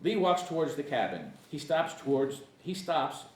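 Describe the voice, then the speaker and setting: A man's voice: a little high, sing-song, moderate pace. A man reading scripted stage directions as narrator at a screenplay table read. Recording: echoey room, distant mic, faint noise.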